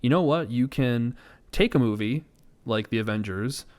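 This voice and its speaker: A man's voice: medium pitch, lively intonation, normal speed, darkish tone. A man co-hosting a podcast in casual, rambling, opinionated discussion with a joking, sarcastic streak. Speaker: podcast co-host. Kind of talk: casual pop culture discussion.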